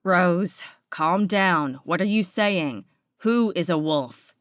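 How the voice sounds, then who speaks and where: A woman voicing a calm, protective man in an audiobook, steady and reassuring. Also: on the low side, takes it slowly, no room sound, dull audio. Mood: calm, concerned. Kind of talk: audiobook character acting.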